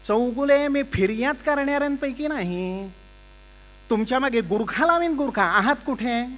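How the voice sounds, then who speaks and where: Angry landlord banging on about rent, loud and pushy, man doing the voice. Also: a little high, animated, unhurried. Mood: threatening. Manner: loud, threatening. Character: gruff demanding landlord collecting rent.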